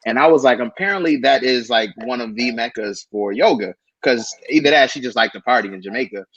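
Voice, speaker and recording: A man from Buffalo, New York co-hosting a casual podcast chat, playful and slangy with quick reactions. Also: medium pitch, fairly bright tone, slightly rough, muffled recording. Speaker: podcast co-host.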